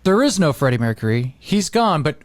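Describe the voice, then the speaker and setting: High-pitched, animated man chatting. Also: brisk, no room sound. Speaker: podcast host. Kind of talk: casual podcast chat.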